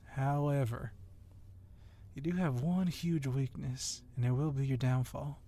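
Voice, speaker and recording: A man's voice: medium pitch, steady tone, measured pace, warm tone. A man playing a confident, sly policeman wrestler who teases and goads his rival in an ASMR roleplay. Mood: sly, admiring. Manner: teasing, needling.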